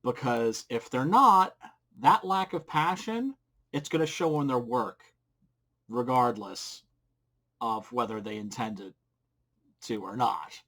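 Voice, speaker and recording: A middle-aged man talks casually at a desk mic at home, sharing creator advice. His voice sits at an ordinary pitch, and his delivery is blunt and informal, with a crude streak of humor. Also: expressive, slow, pauses often, fairly bright tone, poor audio. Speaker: comic book creator giving advice. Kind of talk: casual advice vlog to camera. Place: small indoor home room. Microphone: desk microphone with pop filter, close.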